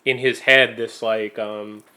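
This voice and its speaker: A man chats casually as a podcast host. He talks like a fan thinking out loud, often halting mid-sentence and leaning on "like" as he finds his point. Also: lowish voice, flat delivery. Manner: halting, thinking aloud with frequent "like".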